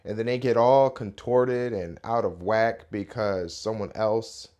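Hoarse, slightly raspy man podcasting. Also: fairly low pitch, measured pace. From Rochester, New York area. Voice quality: relaxed, rambling delivery with many fillers. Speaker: podcast host. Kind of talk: casual morning podcast chat.

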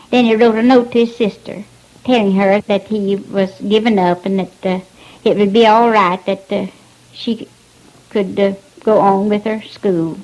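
A woman from rural Virginia with a Southern Appalachian accent, plainly recalling what she witnessed in a halting, folksy way. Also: mid-range voice, low-quality recording. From rural Virginia, United States. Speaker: eyewitness. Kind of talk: plain recounting of a personal memory.

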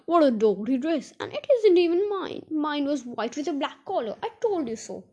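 A woman's voice: sits high, very animated, extremely slow, dark tone, dull audio, pitched above the performer's own voice, heavily acted. A woman voicing a fussy, dramatic village dame from a children's story, full of indignant complaints.

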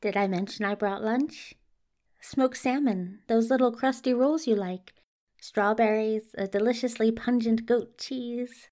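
Lady doing a nervous polite messenger guy for an audio drama, highish voice, fussy and always trying to smooth things over. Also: steady tone, measured pace, a little mellow, natural voice. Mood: nervous, rambling. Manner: flustered, placating, genteel phrasing. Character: anxious, eager-to-please, courtly male messenger, fussily polite. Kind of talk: multivoice podfic audio drama acting.

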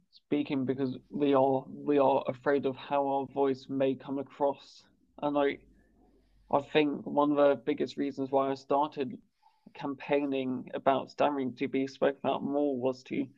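A man's voice: medium pitch, creaky, a little mellow. A man with a stammer who often repeats words and says "like", hosting a conversation as a campaigner. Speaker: campaigner and podcast host. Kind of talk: conversational interview chat. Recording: dull lo-fi sound.

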